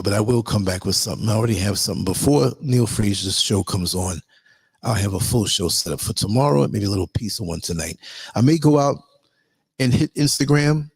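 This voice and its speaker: A hoarse man hosting a livestream, reading a list of tips and then rambling casually to his viewers. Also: medium pitch, a little mellow, no echo. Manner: rambling, chatty, addresses viewers as y'all. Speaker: livestream host.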